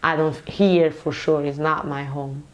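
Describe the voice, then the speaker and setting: A young nurse born in Portugal speaks in a low, steady voice. She talks earnestly and thoughtfully, stopping now and then to search for the right word. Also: highs cut off. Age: young adult. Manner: earnest, reflective, pauses often to find words. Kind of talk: documentary interview. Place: London.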